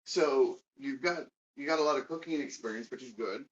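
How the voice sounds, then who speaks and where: A man in his forties, a dating coach, chatting casually and often restarting his words. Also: mid-range voice. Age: 40s. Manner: halting, stammering restarts. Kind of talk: casual conversation. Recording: dull, distant mic, light noise.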